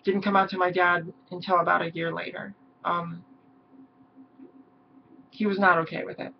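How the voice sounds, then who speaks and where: A hoarse young woman talking haltingly in a personal vlog, with many pauses and ums. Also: fairly low pitch, flat delivery. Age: young adult. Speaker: vlogger. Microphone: camera mic. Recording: dull lo-fi mic with faint hiss.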